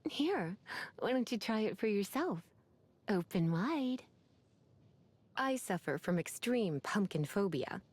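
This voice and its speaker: A woman's voice: medium pitch, big pitch swings, slow, a little mellow. A woman voicing a sly, eerie, witchy young woman in a video game, theatrical and teasing. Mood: playful, creepy. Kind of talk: video game character voice lines.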